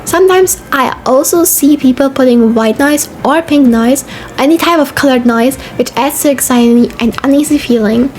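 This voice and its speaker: A woman's voice: high voice, normal speed, darkish tone. A young woman giving a casual, scripted video essay narration in an informal way, sometimes stumbling over long words. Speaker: video essay host and streamer. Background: background music.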